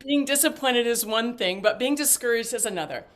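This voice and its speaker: A woman acting an eccentric, evasive mother in a stage play. Her voice sits fairly high, and she rambles along with flippant jokes, theatrical flourishes and stammered asides. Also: fairly quick, a little hiss or hum, close to an everyday voice. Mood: chatty, playful.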